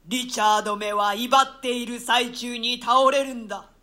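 An actor voicing the vengeful ghost of a murdered nobleman, speaking in slow, solemn curses in a radio-play reading. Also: very high-pitched, steady tone, pushed and bright. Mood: encouraging.

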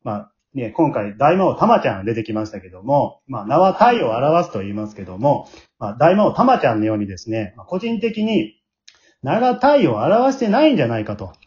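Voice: mid-range voice, big pitch swings, a little fast, darkish tone. A host with a Kansai accent moderating a casual group chat, chiming in often. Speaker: host of an after-show talk. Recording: slightly dull, lo-fi audio.

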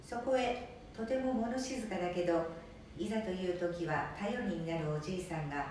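A woman's voice: lowish voice, normal speed, darkish tone. A woman reading scripted narration as the narrator of an amateur radio drama. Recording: very echoey, distant, faint noise.

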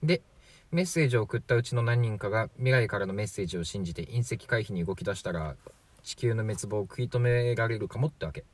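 Radio drama role: male actor as breezy, flippant man in his forties from the future, lower-pitched, dry recording. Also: lively intonation, fast talker, dry recording. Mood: explaining, casual. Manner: chatty, glib, casually matter-of-fact. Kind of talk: amateur radio drama acting.

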